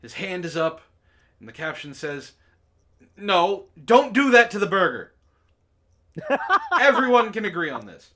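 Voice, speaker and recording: A man hosting a comedy book club, reading aloud and bantering casually in an animated, mock-dramatic way, prone to laughing. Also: high voice, a little slow, pauses often.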